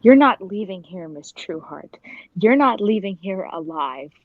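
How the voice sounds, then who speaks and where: A woman's voice: medium pitch, expressive, measured pace, phone-quality sound, slight background noise. A woman playing a cold, menacing, murderous circus tiger trainer in a 1940s style radio play. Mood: coldly menacing.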